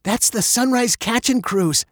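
A man's voice: extremely high pitch, unhurried, no room sound, studio quality, voice pushed up. A man reading aloud and voicing an exasperated, eye-rolling young girl.

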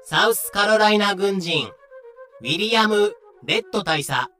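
A man's voice: high voice, expressive, unhurried, pushed and bright, faint music behind. A man reading scripted narration for a voice drama.

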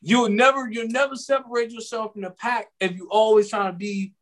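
High-voiced young man rambling on a podcast. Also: steady tone, brisk, a bit bright. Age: 20s. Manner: rambling, repeats himself, slangy. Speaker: podcast co-host. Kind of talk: casual podcast chat.

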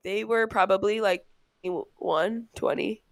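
A woman's voice: mid-range voice, steady tone, takes it slowly, vocal fry. A young woman co-hosting a podcast in casual chat, blunt and swearing freely as she tells stories. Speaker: podcast co-host.

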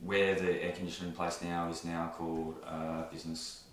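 In a very echoey room with faint noise behind, an Australian man speaks in a low voice. He hosts a casual interview, feeling his way through informal questions. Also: little pitch movement, normal speed. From Australia, grew up in Newcastle, New South Wales. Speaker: interview host.

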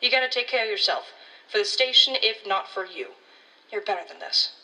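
A woman's voice: medium pitch, fairly quick, bright, forceful tone, far from the mic, low-quality recording. A woman playing a blunt, condescending ranger colleague in an audio drama, talking in a world-weary, slangy way. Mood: concerned, lecturing.

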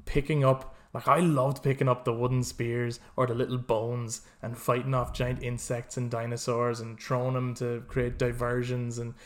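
A man's voice: mid-range voice, little pitch movement, moderate pace, few pauses. A man co-hosting a podcast, chatting casually and enthusiastically about video games with plenty of swearing. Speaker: podcast co-host.

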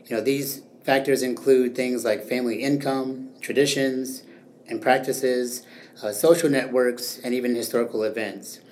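A young man hosting an educational interview podcast, reading prepared definitions and asking measured questions. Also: medium pitch, fairly even intonation, a little mellow, slight background noise. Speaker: podcast host. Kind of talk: semi-scripted educational interview.

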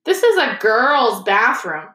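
A high, tearful ghost girl from a storybook acted out by a woman, drenched in self-pity. She wails melodramatically and takes offence at everything, her voice ringing in an echoey room. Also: sing-song, slow, pushed and bright, heavily acted. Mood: suspicious.

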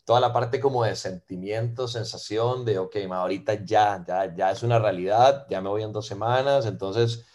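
A Venezuelan-born man in his thirties with a Costa Rican accent, chatting casually as a podcast guest and filling pauses with "ma" and "eh". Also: on the low side. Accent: Costa Rican Spanish. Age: 30s. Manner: relaxed, fills with "ma" and "eh". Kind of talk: casual interview chat.

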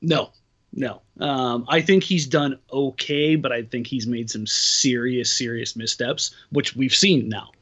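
Man with a deep radio voice. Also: steady tone, measured pace, fairly bright tone. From Portland area. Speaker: podcast guest, basketball writer. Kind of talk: casual podcast chat.

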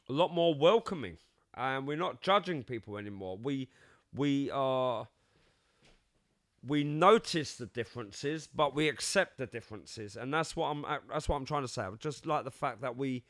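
A man's voice: mid-range voice, dry recording, studio quality. Middle-aged man with a London accent. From England. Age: 50s. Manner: animated, repeats words while thinking, swings loud and soft. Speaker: podcast co-host. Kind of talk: casual chat.

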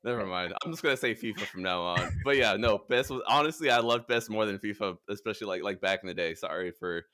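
A man's voice: fairly low pitch, quick pace, few pauses, sharp tone. A man hosting a podcast interview, laid-back and jokey, laughing often as he chats. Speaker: podcast host. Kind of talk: casual interview chat.

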